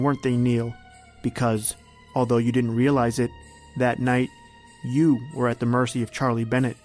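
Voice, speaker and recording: A man playing an ominous narrator of an old-time radio suspense drama, speaking deliberately and insinuatingly as if straight to the hero. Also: medium pitch, darkish tone, lightly acted. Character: ominous male narrator addressing the hero as you. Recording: music under the voice. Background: music.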